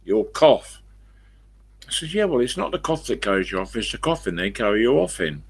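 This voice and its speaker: An elderly English man with a croaky smoker's voice, rambling casually to viewers on a live stream and answering his own questions. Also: medium pitch, very animated, a little fast. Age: 70s or older. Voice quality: croaky, husky smoker's voice with a cough.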